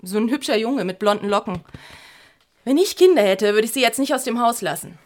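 An actress playing a chatty physiotherapist who shares gossip, in a radio play. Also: female voice, a little high, animated, brisk, close to an everyday voice. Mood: gossipy.

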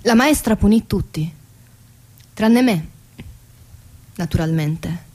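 A woman's voice: mid-range voice, lively intonation, slow, darkish tone. An actress playing a weary woman who works on a car assembly line, narrating a radio theatre monologue in short clipped phrases. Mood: reflective. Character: weary female car factory assembly-line worker telling her story.

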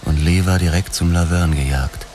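Actor as very deep-voiced brooding noir detective. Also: male voice, fairly even intonation, normal speed, a little mellow, a little hiss or hum, lightly acted. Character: brooding male police detective narrating his own noir story. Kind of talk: first-person narration in a crime radio play. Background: music.

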